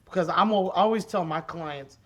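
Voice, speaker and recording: A woman in her forties with a deep, raspy voice, telling her story as a podcast guest in an animated, emphatic way and repeating key phrases. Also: brisk. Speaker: podcast guest, special education advocate. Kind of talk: conversational interview storytelling.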